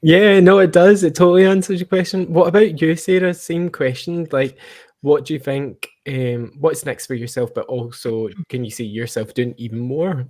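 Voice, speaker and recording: Higher-pitched man hosting a chat. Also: steady tone, moderate pace, hardly any pauses, a little mellow. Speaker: podcast host. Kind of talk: casual chat interview.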